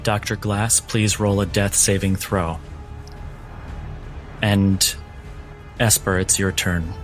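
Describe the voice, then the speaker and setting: A man narrating a tabletop roleplaying game as game master, with deliberate, dramatic phrasing. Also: low-pitched, fairly even intonation, soft background music.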